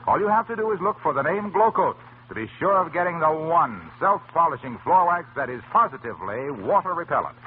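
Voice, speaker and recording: A man working as a 1940s radio announcer, delivering scripted introductions and sponsor pitches with polished, emphatic salesmanship. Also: sing-song, fairly quick, hardly any pauses, phone-quality sound, a little hiss or hum. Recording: thin old-time radio recording with music.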